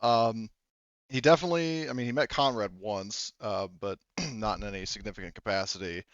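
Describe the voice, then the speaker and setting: A man working as game master, improvising tabletop game narration in a halting, rambling, informal way. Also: on the low side, no room sound, highs cut off.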